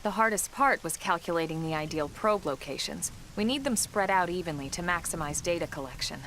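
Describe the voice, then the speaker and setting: An actress playing a composed, brisk military officer who instructs with measured authority in a video game. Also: female voice, on the low side, expressive, fairly bright tone, slight background noise, poor audio. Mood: calm, businesslike. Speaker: squad leader and trainer. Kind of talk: scripted video game dialogue.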